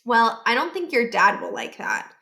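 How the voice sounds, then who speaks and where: A reluctant new schoolboy from a children's storybook, read by a woman. He is wry and terse, with a fairly high voice. The room around the voice is echoey. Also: very animated, moderate pace, sharp tone, full character voice. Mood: flat, reluctant. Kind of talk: children's book read-aloud.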